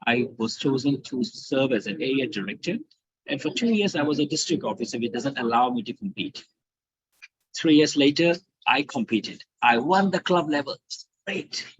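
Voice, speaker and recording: Middle-aged man from India, husky voice, earnest storytelling trainer, dull online-call sound. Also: a little high, no room sound. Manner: earnest, storytelling with rising emphasis. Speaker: guest speaker. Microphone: video call.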